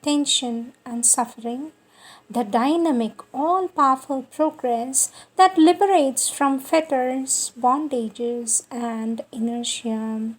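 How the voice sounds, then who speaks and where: A woman giving a devotional scripted reading of spiritual writing in a deliberate, solemn manner, repeating phrases for emphasis. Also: high voice. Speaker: reader of spiritual texts. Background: music.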